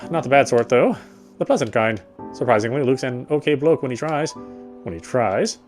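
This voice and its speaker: A man's voice: medium pitch, lively intonation, normal speed, darkish tone, soft background music. A man reading visual novel narration aloud, sometimes stumbling and rereading phrases.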